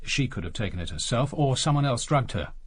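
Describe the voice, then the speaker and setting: Radio drama: measured English detective, courteous and persistent, husky with expressive pitch. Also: male voice, no echo. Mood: methodical. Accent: British English. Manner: measured, questioning, polite. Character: coolly probing English police inspector, courteous and persistent.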